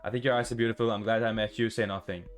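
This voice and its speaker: A man voicing a gentle, doting, insistent male love interest in a visual novel. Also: fairly low pitch, level tone, rapid-fire, faint music behind, close to an everyday voice. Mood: tender.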